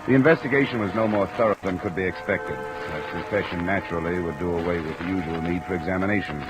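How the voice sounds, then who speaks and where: An actor playing a cool, probing insurance investigator in a 1950s radio drama, measured, dry and matter-of-fact. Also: male voice, low voice, loud music behind the voice, natural voice, low-quality recording. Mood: dry, reflective. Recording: old radio recording under loud rain noise. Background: steady rain sounds.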